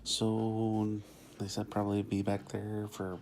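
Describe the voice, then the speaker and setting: A man in a hospital room giving calm, matter-of-fact updates for a vlog while his partner is in surgery. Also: on the low side, measured pace, a little hiss or hum.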